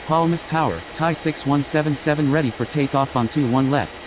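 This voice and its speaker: A man speaking as an airline pilot in scripted air traffic phraseology. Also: brisk. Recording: loud noisy rumble and hiss.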